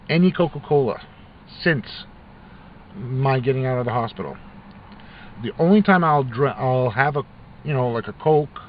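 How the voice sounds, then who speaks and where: A man's voice: medium pitch, slight background noise. A middle-aged man vlogging casually, rambling with frequent restarts and fillers. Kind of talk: casual talk to camera. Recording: dull lo-fi recording with faint ambience.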